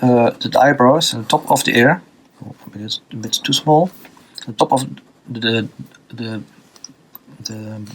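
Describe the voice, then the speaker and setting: Man, Dutch accent, art teacher, unscripted tutorial, halting, many fillers, middle pitch. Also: breathy edge. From Belgium. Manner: halting, many fillers and restarts while drawing.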